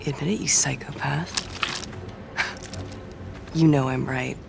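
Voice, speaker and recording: A woman playing a suspicious, sharp-tongued squad member in a video game cutscene. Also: a bass voice, fairly even intonation, slow pace, vocal fry, over music, heavily acted. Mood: accusing.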